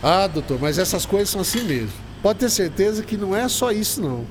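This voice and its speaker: Folksy old Brazilian granddad, quick and very lively, radio play with faint noise. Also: male voice, high voice. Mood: concerned, knowing. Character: affable, chatty old grandfather. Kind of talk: educational radio drama acting.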